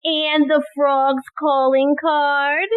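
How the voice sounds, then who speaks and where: Woman playing a high-voiced inquisitive police assistant. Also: flat delivery, very slow, dry recording, narrow, tinny audio. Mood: questioning. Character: earnest, inquisitive, frank female police assistant. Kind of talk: audio play acting.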